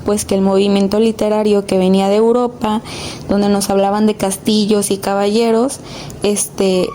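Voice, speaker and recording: Mellow-voiced woman explaining, noisy recording. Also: mid-range voice. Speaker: student podcast presenter. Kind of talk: informal explanatory podcast talk.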